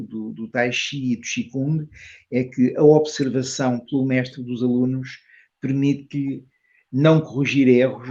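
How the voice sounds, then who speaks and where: An older man with a European Portuguese accent answers interview questions reflectively as a teacher, in measured, carefully reworded phrases. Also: mid-range voice, a little mellow, highs cut off. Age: older, retired. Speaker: Tai Chi and Qigong teacher, interview guest.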